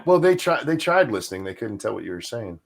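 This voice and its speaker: A man chatting casually in a blunt, deadpan way. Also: medium pitch, quick pace, creaky. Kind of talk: casual chat.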